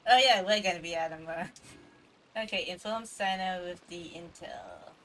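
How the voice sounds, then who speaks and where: A woman's voice: fairly low pitch, moderate pace, fairly bright tone, slight background noise. A playful, reactive woman chatting casually as she livestreams a game. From Australia. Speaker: streamer. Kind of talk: casual live game stream chat. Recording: slightly distant mic, faint game music.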